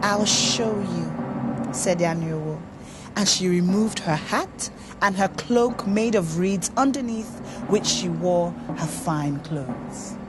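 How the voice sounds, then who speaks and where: A woman reading a scripted story as an expressive narrator, deliberate and dramatic. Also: lowish voice, very noisy. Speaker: story reader. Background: music under the voice.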